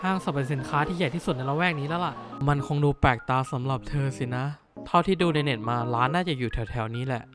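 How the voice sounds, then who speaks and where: A man's voice: fairly high pitch, quick pace, few pauses, over music, natural voice. A man voicing a flustered bookish schoolboy. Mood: grumbling. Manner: flustered, grumbling inner monologue. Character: bookish flustered teenage boy student. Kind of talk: anime dub acting.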